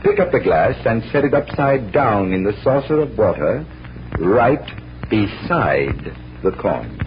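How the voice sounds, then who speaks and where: An actor playing a confident magician detective, commanding and instructive, in an old-time radio drama. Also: male voice, on the low side, very animated, music in the background. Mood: showmanlike, instructive. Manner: brisk, authoritative explaining. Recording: muffled old radio recording with hum.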